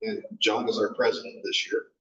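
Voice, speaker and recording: A man with a loud voice introducing his club as a guest speaker, informally. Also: on the low side, flat delivery, normal speed. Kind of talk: presentation intro. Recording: echoey, distant, muffled room audio.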